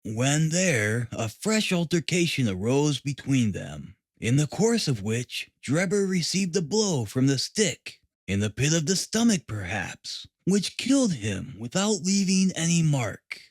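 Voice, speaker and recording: Audiobook role: smug male police detective, acted by a man narrator; formal, pompous, lively intonation, dry close sound. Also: on the high side, measured pace, hardly any pauses, no room sound, heavily acted. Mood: confident. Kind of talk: audiobook character reading.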